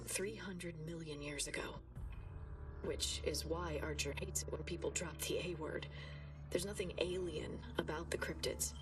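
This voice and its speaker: A low female voice from an actress as an uneasy scientist in a video game. She narrates a research log earnestly, a little distant from the mic, with clear music underneath. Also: close to an everyday voice. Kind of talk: scripted audio-log narration.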